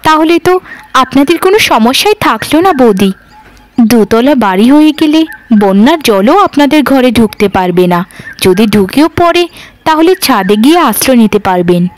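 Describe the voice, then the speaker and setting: A woman's voice: on the high side, sing-song, moderate pace. A woman voicing a poor, humble village woman in a cartoon, long-suffering and deferential, speaking in a pleading, lamenting way. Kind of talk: cartoon voice acting.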